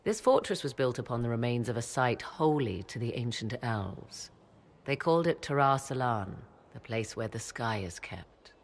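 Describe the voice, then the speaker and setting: An actress voicing a mysterious, secretive mage mother in a video game, speaking in a measured, formal, archaic way. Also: very deep voice, expressive. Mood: grave. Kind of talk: video game cutscene dialogue.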